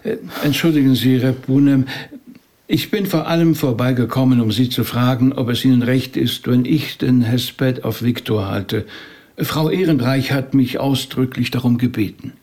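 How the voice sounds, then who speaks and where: An actor playing an inquisitive, tactful rabbi in a German audio drama, polite and probing in a conversational way. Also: male voice, mid-range voice, normal speed, darkish tone, close to an everyday voice.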